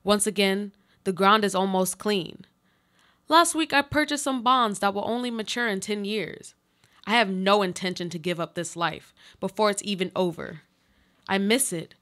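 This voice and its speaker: An insistent, pleading female double, acted by a woman in a radio play, mid-pitched. She speaks in solemn, philosophical monologues with a declamatory, literary manner. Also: moderate pace, fairly bright tone, lightly acted. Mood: pained, accusing.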